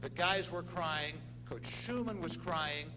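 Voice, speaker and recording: A man's voice: a little high, takes it slowly. Middle aged guy doing an award speech, emphatic short phrases, big hall reverb, noisy hum behind. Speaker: presenter at an athletics hall of fame ceremony. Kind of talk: ceremony speech to an audience. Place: indoor ceremony hall with long reverb.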